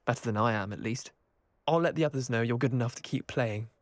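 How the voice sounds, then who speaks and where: A man's voice: mid-range voice, fast talker, no echo, close to an everyday voice. A man playing a cocky young wizard student. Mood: grudging. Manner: boastful, then grudging. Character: young male student, cocky then gracious loser. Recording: game cutscene with music behind. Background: music.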